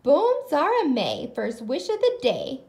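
A woman plays an eager little genie girl from a picture book. The voice is high, bouncy and very lively in pitch, with some room echo around it. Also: measured pace, full character voice. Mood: excited. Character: sweet, eager young girl genie in training.

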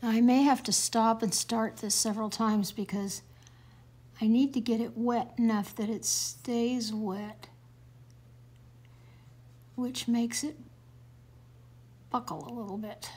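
An older woman with a raspy voice, calmly talking through a watercolor demonstration, sometimes dropping to a near whisper. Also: on the high side, takes it slowly, long pauses. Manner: soft-spoken, careful, drifting into near-whisper while working. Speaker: watercolor painting teacher. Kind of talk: calm painting demonstration.

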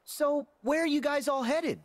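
A man's voice: very high-pitched, normal speed, no echo. A man playing an earnest, eager, friendly teenage boy hero in a game, boyish, curious and sincere. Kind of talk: video game cutscene acting.

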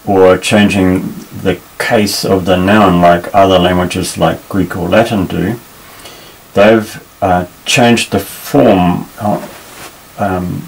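A man teaching a Bible Hebrew lesson at a table, explaining patiently with many pauses and restarts. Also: low-pitched, rough recording. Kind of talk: unscripted tabletop lesson, explaining while pointing. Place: indoors at a table.

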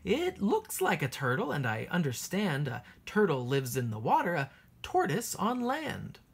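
Female storybook reader: unhurried, sing-song teacher delivery, strongly animated intonation, breathy husky tone. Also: sits low. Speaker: teacher reading a children's book aloud. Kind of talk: expressive picture-book read-aloud for young children.

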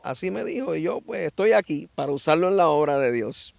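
A man's voice: fairly high pitch, fairly quick, creaky, no echo. A man in his sixties with a Puerto Rican accent, telling his story with energy and acting out the voices of people he quotes, outdoors on a handheld mic. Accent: Puerto Rican Spanish. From Dominican Republic. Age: 60s. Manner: lively storyteller who acts out quoted voices. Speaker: guest. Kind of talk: animated testimony. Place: outdoors under a tree in a grassy field. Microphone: handheld mic held by the interviewer.

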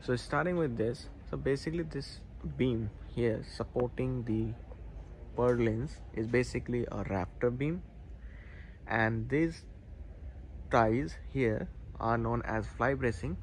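A man's voice: mid-range voice, a little slow. Man explaining on site, noisy background. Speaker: civil engineering tutor. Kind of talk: hands-on explanatory walkthrough. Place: inside unfinished steel warehouse. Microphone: handheld phone camera.

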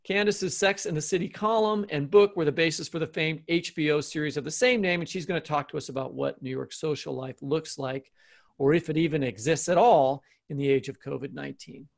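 Creaky, bright-voiced man co-hosting a podcast. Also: a little high, brisk. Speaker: podcast co-host. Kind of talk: conversational podcast hosting and interviewing.

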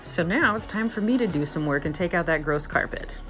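A young woman narrating her renovation vlog in a casual, bubbly and excited way. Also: lowish voice, fairly quick, music in the background. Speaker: vlogger.

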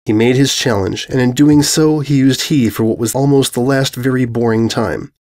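A man's voice: mid-range voice, normal speed, darkish tone. A man reading scripted fan fiction aloud as a dramatic narrator.

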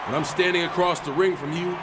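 An actor playing an earnest, defiant wrestling hero, giving an emphatic promo to an arena. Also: male voice, high-pitched, steady tone, fast, close to an everyday voice. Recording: loud arena crowd noise.

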